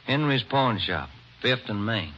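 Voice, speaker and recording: An actor playing an easygoing police detective who speaks in a calm, plain-spoken way, in an old radio drama. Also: male voice, medium pitch, a little slow, soft background music. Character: male police detective partner, easygoing, steady. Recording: muffled radio broadcast with noticeable hiss.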